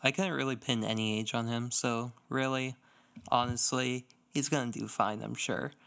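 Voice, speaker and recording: A podcast co-host talking in relaxed, informal conversation with a friend. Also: mid-range voice, normal speed, gravelly, smooth. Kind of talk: casual chat.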